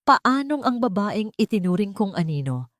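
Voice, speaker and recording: A woman's voice: medium pitch, very animated, moderate pace, no room sound, clean studio sound. A woman reading a scripted story as a dramatic narrator.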